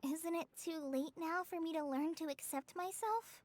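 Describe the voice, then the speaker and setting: A woman's voice: very high-pitched, moderate pace, a little mellow, slight background noise. A woman voicing a timid, kind, self-doubting teenage girl in an anime-style video game, hesitant and sincere. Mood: humble, earnest.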